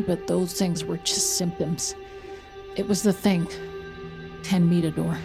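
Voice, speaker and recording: A woman's voice: on the low side, gravelly. An actress playing a haunted, desperate mother in an audio drama, earnest, hesitant and strained. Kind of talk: audio drama acting with first-person narration. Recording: music under the voice.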